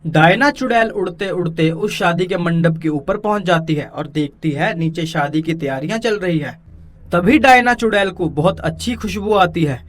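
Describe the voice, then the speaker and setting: High-pitched male children's storyteller. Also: normal speed. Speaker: storyteller, narrator. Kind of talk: scripted children's story narration.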